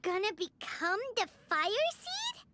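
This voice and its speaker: A woman voicing a tiny, excitable and anxious fairy companion in a video game, squeaky and fretful. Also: unhurried, background noise, exaggerated voice. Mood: alarmed, pleading.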